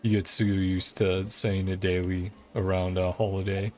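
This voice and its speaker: Laid-back guy doing game commentary, really deep voice, chill and chatty. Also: normal speed. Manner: relaxed, chatty, reads dialogue aloud with small laughs. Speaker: game streamer. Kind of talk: casual let's-play commentary.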